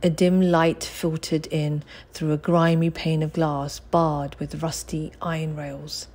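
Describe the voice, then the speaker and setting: A woman reading a children's audiobook with expressive, scripted narration. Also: low-pitched, slow. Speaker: audiobook reader.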